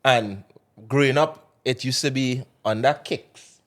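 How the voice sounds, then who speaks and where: A man's voice: medium pitch, slow pace. A man from Trinidad with a Trinidadian accent, a soca artist talking casually and loosely in an interview. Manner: relaxed, rambling, Trinidadian Creole grammar.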